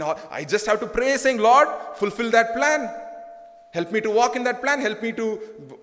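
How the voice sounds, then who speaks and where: A man with an Indian English accent preaches in a high, ringing voice that carries a long echo through a church hall. He talks fervently, circling back over his phrases and swelling into emphatic peaks. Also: fairly bright tone. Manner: fervent, repetitive, rising to emphatic bursts. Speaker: pastor. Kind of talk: sermon.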